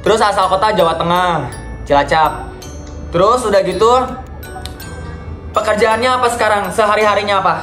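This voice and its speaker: A man's voice: high voice, a little fast, pauses often, pushed and bright. A young man hosting a casual video call chat, talking to his viewers and encouraging his guest. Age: young adult. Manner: chatty, addresses viewers as guys, encouraging. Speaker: vlogger host. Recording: echoey room with faint music.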